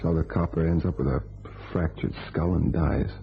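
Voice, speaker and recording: A scheming, hardboiled ex-con in a crime radio play. His voice is extremely low and full of gravelly creak, with tough, terse phrasing. It comes through thin and tinny, like an old radio. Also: steady tone, normal speed, slight background noise. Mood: confiding. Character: Rusty Connors, scheming hardboiled male ex-convict. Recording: tinny band-limited old radio, music behind.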